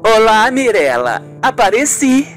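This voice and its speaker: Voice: mid-range voice, big pitch swings, measured pace, faint music behind, voice pushed up. A performer voicing a scheming woman posing as a sweet new friend in an amateur radio soap opera. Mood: sweetly chummy.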